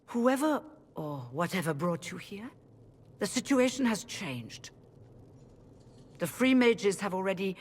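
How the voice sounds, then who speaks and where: Casting: weary female mage leader for a fantasy game; low husky voice, formal, defensive, big reverberant hall. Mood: uneasy. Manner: formal, measured.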